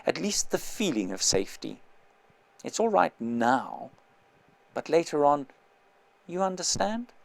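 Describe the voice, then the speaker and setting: A secretive man leans in and mutters in a hushed, conspiratorial way, voiced by a male audiobook reader. The voice has a gravelly grain. The sound is dry and close. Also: fairly high pitch, big pitch swings, a little slow, pauses often, no echo, heavily acted. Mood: furtive.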